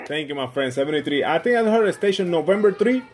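A man's voice: on the high side, animated, fast talker, background noise. A friendly man working amateur radio contacts, repeating call signs and phrases in a casual way. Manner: friendly, repeats himself, often says "my friend".

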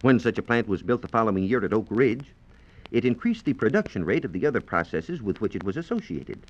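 An American man giving formal, measured scientific explanations as a reporter on a 1940s radio program. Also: fairly low pitch, expressive. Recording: dull, band-limited 1940s radio transcription disc.

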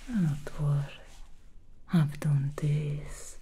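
A woman voicing an ancient female demon hairstylist in a whispered ASMR roleplay, with a soft, breathy whisper close to the ear. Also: low-pitched, steady tone, extremely slow, slight background noise. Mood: soothing whisper. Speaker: ASMR creator.

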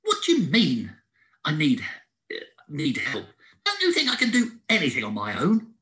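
A man voicing a stubborn, proud male squirrel who gets tearful and whimpers in a high voice. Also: very animated, moderate pace, full character voice. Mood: indignant.

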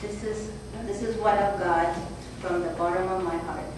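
A woman at a podium reading her own short heartfelt piece, halting and repeating phrases as she goes. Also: on the low side, steady tone. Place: brick-walled hall with a seated audience. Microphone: podium mic from a far camera. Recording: roomy, distant, noisy hall recording.

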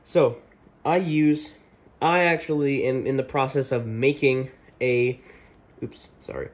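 Guy doing a casual tech how-to, kinda halting and repeats himself, some background noise in the room. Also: medium pitch, fairly even intonation. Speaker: tutorial maker. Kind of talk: casual hands-on tech demonstration. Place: indoor room at a wooden desk.

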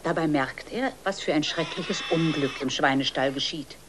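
A woman's voice: sits low, normal speed, background noise. A woman giving scripted narration as the storyteller of a children's audio play.

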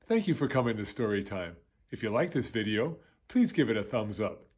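An older man, a longtime teacher, reading a children's picture book aloud in a gentle, expressive storytelling voice. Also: fairly low pitch, brisk, husky. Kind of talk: children's read-aloud narration.

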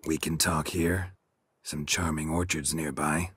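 Game monster hunter: extremely deep, very raspy, stoic, wry, clipped deadpan lines. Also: male voice, unhurried, full character voice. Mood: dry, guarded. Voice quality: gravelly, husky. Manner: terse, deadpan. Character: stoic, weary, wry male monster-hunting warrior. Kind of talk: video game cutscene acting.

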